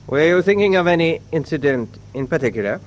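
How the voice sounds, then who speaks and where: Man acting guarded wartime admiral, high voice, formal, measured, radio drama, faint background noise. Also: animated, lightly acted. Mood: guarded, polite. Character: guarded, formal German U-boat base admiral.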